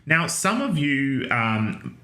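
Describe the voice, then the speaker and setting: A man in his thirties giving a recorded online lecture from home over webcam, talking in a chatty, joking way with many ums and restarts and a loud voice. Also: mid-range voice, sing-song, slow pace, echoey room. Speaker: nursing lecturer. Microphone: webcam video call setup.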